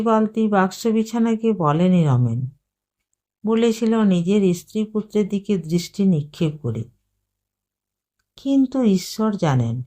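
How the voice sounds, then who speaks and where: A woman narrating a short story in a measured, unhurried storytelling manner. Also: medium pitch, a little mellow.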